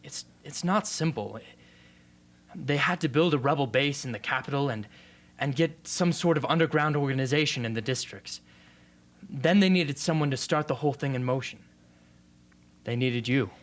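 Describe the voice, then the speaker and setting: A man's voice: medium pitch, moderate pace, lightly acted. A man playing an earnest, defiant young rebel and loyal friend in an amateur radio drama. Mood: serious, measured.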